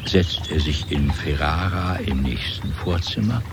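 A male narrator of a radio drama with a very deep voice. He delivers literary prose in deliberate, measured phrases. Clear ambient noise runs underneath.

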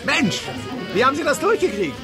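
Radio play crony: amazed film director, high voice, theatrical, loud crowd and music around him. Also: male voice, big pitch swings, brisk. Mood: excited, amazed. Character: excited male film director, freshly freed, astonished crony. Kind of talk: theatrical radio drama acting.

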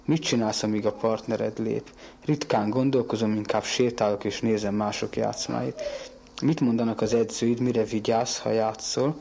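Man, father in old radio interview, gravelly, flat delivery, faint hiss behind. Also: on the low side. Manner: deliberate, formal phrasing. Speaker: father of the girl. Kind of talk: interviewed parent on archival radio.

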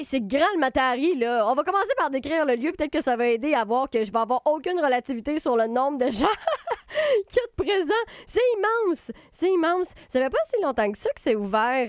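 A Québécoise woman hosts a radio show in a loose, rambling way, full of fillers and self-corrections. Her voice sits a little high and sounds smooth and clean. The studio sound is dry and close. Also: lively intonation, moderate pace, no room sound. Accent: Québécois French. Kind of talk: casual spoken review.

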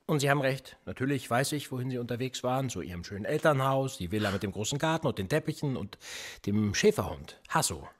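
An actor playing a police interrogator in a radio play, sardonic and menacing, needling with theatrical rhetorical questions. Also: male voice, medium pitch, expressive, fast talker, natural voice. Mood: sly, menacing. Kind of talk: naturalistic German radio play acting.

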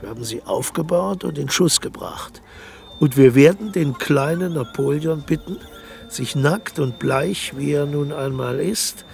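An actor playing an eccentric, grandiose dictator colonel in a radio play, deliberate and quietly menacing. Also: male voice, on the high side, creaky.

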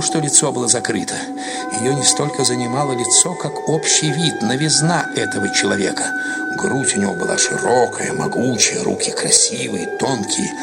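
Male story narrator over loud music. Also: mid-range voice, vocal fry. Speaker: narrator, actor reading a short story. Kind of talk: dramatised literary narration for radio.

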